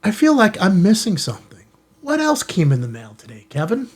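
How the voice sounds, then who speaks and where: A man's voice: high voice, wildly swooping pitch, a little fast, slightly rough. An American man hosting a ham radio channel, chatting informally and casually to viewers as he shows off gear. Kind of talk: casual product unboxing chat to viewers. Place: indoor studio in an office building.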